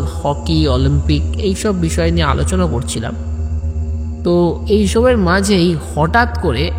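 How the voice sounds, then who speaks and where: A man narrating a comic audio story in a conversational way, addressing listeners directly. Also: a little high. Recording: long reverb, music behind.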